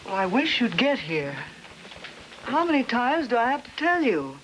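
A middle-aged woman plays a polite, anxious suburban mother in a 1950s film. Her speech is genteel, with clear noise on the old soundtrack. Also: a little high, sing-song, normal speed, poor audio. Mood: worried, reproachful.

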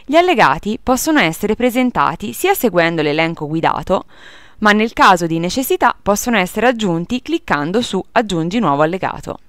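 A woman's voice: mid-range voice, sing-song, fairly quick, no echo. A woman reading a scripted instructional tutorial as narrator in a formal, procedural manner.

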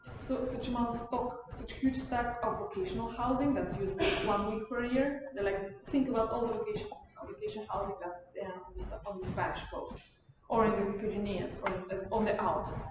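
A young woman from Bulgaria giving an informal lecture in a halting, rephrasing, digressive way. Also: medium pitch, steady tone. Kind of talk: informal lecture and discussion of questions. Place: university lecture room. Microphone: far camera. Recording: distant mic, muffled, echoey room, loud noise.

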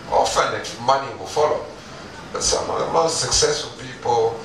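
A middle-aged man, an entrepreneur, giving an earnest, emphatic stage talk with pauses and restarts on a headset mic. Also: medium pitch. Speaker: entrepreneur guest speaker. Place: event stage with audience. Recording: echoey room, distant, background noise.

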